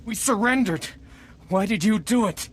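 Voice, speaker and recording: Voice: mid-range voice, normal speed, slight background noise, natural voice. An actor playing a bitter, wounded colonist in a video game, strained, accusing and in pain. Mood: angry, accusing. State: in pain, gasping. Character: wounded, bitter, defiant colonist of a conquered world. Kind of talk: dramatic video game acting.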